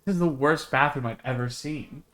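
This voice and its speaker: A man's voice: mid-range voice, fairly quick, fairly bright tone. A man streaming a visual novel, reading it aloud and reacting casually.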